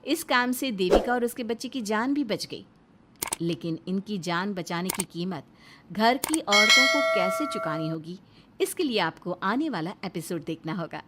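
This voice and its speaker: A woman's voice: medium pitch, animated, normal speed, background noise. A woman serving as narrator of a cartoon moral story, reading a dramatic scripted narration.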